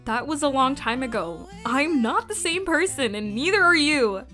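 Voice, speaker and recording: A woman's voice: high-pitched, very animated, moderate pace, sharp tone, soft background music, full character voice. A woman playing a guarded, hurting young woman. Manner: curt, guarded. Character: hurt guarded young woman, twin sister.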